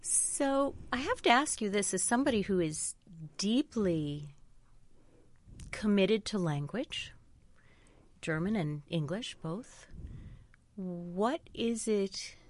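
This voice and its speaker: Woman interviewer, mid-pitched and encouraging. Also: lively intonation, takes it slowly, pauses often. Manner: warm, encouraging, many affirming murmurs. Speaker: arts interviewer. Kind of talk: radio arts interview.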